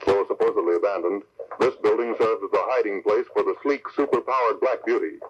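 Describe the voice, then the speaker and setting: A man's voice: fairly low pitch, fairly quick. Old radio serial narrator guy, dramatic scripted read, muffled with some hiss.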